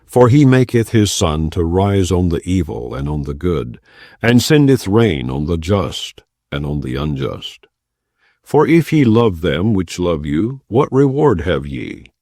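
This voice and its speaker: A man giving a measured, solemn non-dramatized reading of scripture, close to the mic. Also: deep voice, warm tone, no echo. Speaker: Bible reader.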